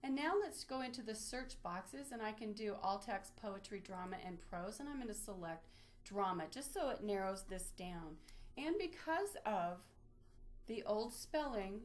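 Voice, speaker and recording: A woman giving an informal, unscripted instructional walkthrough, explaining things step by step as she demonstrates on screen. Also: medium pitch. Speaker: librarian giving a database tutorial.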